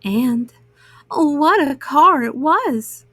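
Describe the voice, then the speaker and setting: A woman reading a children's story aloud in an expressive, measured narration for young listeners. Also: sits high, no room sound, clean studio sound.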